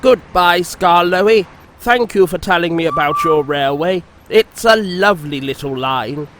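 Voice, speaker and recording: A man's voice: high voice, unhurried, background noise. A man voicing a friendly, polite male steam engine in a storybook reading. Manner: polite and kind.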